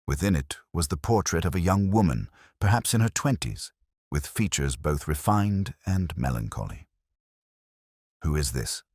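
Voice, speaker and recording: A man with an extremely low voice narrates a classic mystery. His scripted reading rises and falls expressively, and the sound is dry and close. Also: big pitch swings, normal speed, a little mellow, breathy edge, dry recording. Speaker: audiobook narrator.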